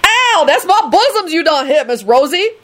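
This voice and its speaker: A woman's voice: high-pitched, sing-song, a little slow, voice pushed up, exaggerated voice. Woman doing a haughty, grumbling, bossy lady. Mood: scolding. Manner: folksy nonstandard grammar, scolding.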